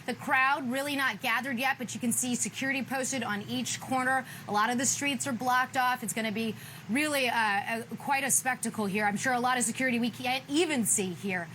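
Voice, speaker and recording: A woman giving a measured, solemn live news report from outdoors. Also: fairly high pitch. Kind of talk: live broadcast field reporting. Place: outdoors on a city street. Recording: outdoor live report, loud ambient noise.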